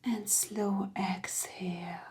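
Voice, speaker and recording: A woman guiding a meditation in a soft, soothing, gentle voice with breathy phrase endings. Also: mid-range voice, little pitch movement. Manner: soothing, gentle, unhurried with long pauses. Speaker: meditation guide. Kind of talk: guided meditation narration.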